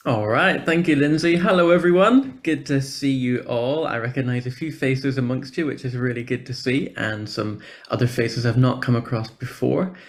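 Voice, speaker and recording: A man's voice: mid-range voice, steady tone, normal speed, few pauses. A man with a Northern Irish accent giving an informal, encouraging conference presentation over a video call. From Northern Ireland. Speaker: church programme officer, guest speaker.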